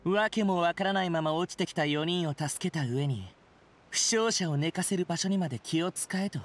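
A man playing a haughty, sharp-tongued young wanderer in a Japanese anime game, talking in a sneering, sardonic way. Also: on the high side, normal speed, slight background noise, close to an everyday voice. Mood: scornful.

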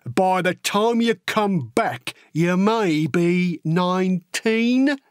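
Old army recruiter, played by a man, avuncular and crafty, unhurried knowing delivery for a children's audiobook. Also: sits high, animated, clean tone, no room sound, voice pushed up, heavily acted. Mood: knowing, kindly. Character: old male recruiting sergeant, avuncular and slyly obliging. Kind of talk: acted children's audiobook dialogue.

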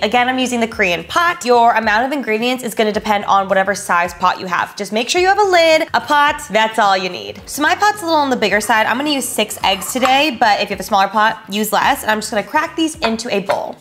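A woman's voice: a little high, lively intonation, brisk, few pauses, fairly bright tone, soft background music. A young woman in her twenties hosting a home cooking tutorial, bubbly and chatty, gushing over the food. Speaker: cooking show host. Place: large home kitchen, indoors.

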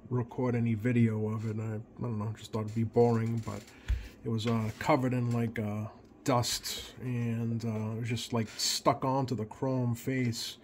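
Halting man in a noisy recording. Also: mid-range voice, little pitch movement, darkish tone. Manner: halting, hesitant, unscripted delivery. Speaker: radio repair hobbyist presenter. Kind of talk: casual hands-on demonstration talk. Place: indoors at a workbench. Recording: clear background noise.

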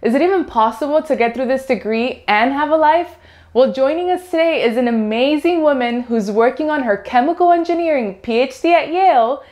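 Upbeat high-voiced young woman host. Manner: upbeat, enthusiastic. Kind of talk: scripted channel host talk. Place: studio before green screen, indoors. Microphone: lapel.

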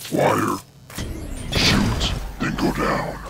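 A man voicing a cocky, crude, goofy armored superhero in a comedic game parody dub, gravelly and deadpan. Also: drawn-out words, loud music behind the voice. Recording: noisy amateur dub with game ambience.

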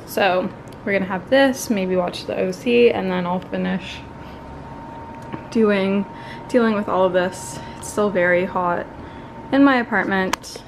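A woman's voice: mid-range voice, measured pace, vocal fry, noisy background. A woman, chatting casually to camera in an informal, meandering way, as if thinking aloud. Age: 20s. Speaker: lifestyle vlogger. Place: home apartment kitchen, sometimes street. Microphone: handheld or propped camera.

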